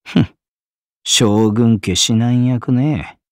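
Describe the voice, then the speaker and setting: A man voicing a rough, scornful young assassin swordsman in a video game, with a Tosa accent. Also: medium pitch, extremely slow, a little mellow, dry recording, studio quality. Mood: contemptuous. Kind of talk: video game character voice lines.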